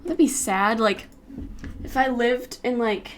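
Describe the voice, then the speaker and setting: A woman's voice: fairly high pitch, slow pace, a little hiss or hum. A young American woman chatting casually and rambling while she works on an animation, with lots of giggles. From United States. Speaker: YouTuber animating while streaming. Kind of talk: casual chat while working.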